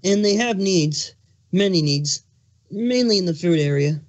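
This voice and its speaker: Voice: medium pitch, expressive, unhurried, close to an everyday voice. Pregnant male changeling king, acted by a fan reader: hormonal, exasperated, emphatic, foul-mouthed cartoon monarch. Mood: flustered, explaining. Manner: ranting, exasperated, emphatic swearing. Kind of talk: fan fiction dramatic reading, cartoon characters.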